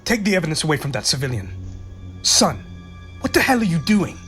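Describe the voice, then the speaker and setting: A man's voice: fairly high pitch, sing-song, fairly quick, a bit bright, faint music behind. A man playing a stern, domineering police chief and disapproving father in an audio drama, scolding and giving orders. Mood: angry, domineering. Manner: scolding, commanding.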